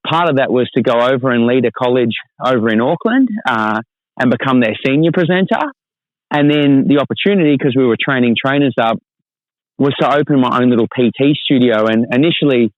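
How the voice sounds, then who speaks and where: A man chats as a podcast guest, winding through his story in a relaxed, friendly ramble and often calling his host mate. His voice sits at an ordinary middle pitch. The recording is dry and close, with a slightly dull, lo-fi tone. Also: no echo. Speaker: podcast guest, fitness business owner. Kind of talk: casual storytelling interview.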